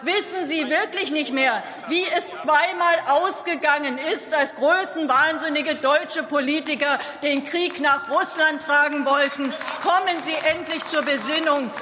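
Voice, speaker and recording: A politician with a high, sharp voice delivers a fiery political speech. The manner is indignant and accusatory, built on rhetorical questions. The voice rings through a hall with long reverb. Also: a little slow, hardly any pauses.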